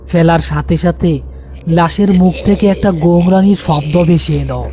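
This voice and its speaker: A male narrator reads a scripted horror tale. His voice is quite high for a man, and music plays clearly behind it throughout.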